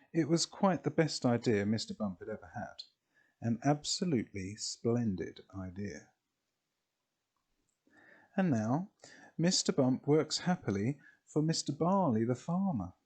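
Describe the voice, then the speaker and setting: A man reading a children's storybook expressively, in a deliberate way with drawn-out phrases. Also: medium pitch, darkish tone.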